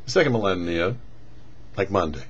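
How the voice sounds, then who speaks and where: A man's voice: low-pitched, lively intonation, moderate pace, husky. A man presenting religious commentary as a rabbi, teaching didactically in short, emphatic phrases. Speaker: rabbi presenting a weekly Torah portion show. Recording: dull lo-fi audio with faint noise.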